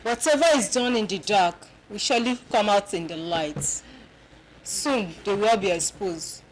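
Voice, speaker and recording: An actress playing a steady woman who consoles a mourner with measured reassurance in a film drama. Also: mid-range voice, slight background noise, lightly acted, rough recording. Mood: solemn comfort.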